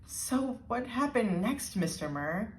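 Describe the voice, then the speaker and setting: A woman's voice: on the high side, sing-song, slow pace, recorded from a distance, slight background noise, pitched above the performer's own voice. Woman voicing a shy, hesitant little schoolgirl, echoey room. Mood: anxious curiosity. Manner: hesitant, wide-eyed. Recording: roomy, echoing indoor sound.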